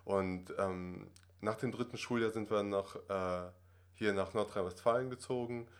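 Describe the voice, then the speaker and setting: A man's voice: on the low side, steady tone, moderate pace. A man in his twenties who grew up in Russia, talking casually as a podcast guest, rambling with many fillers and tag questions. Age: 20s. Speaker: acting student guest.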